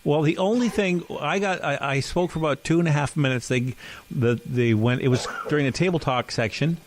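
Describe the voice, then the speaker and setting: A man's voice: on the high side, brisk, slight background noise. A middle-aged man co-hosting a podcast, chatting casually and telling rambling stories full of restarts, with an occasional cough. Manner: rambling, self-correcting storyteller. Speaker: podcast co-host. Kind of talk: casual chat.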